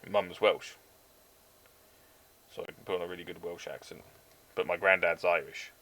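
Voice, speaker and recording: A man's voice: deep voice, steady tone, normal speed, bright, forceful tone. A man in his thirties with a mixed British Forces English accent, chatting casually and swearing freely on a live stream. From England. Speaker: streamer building model kits.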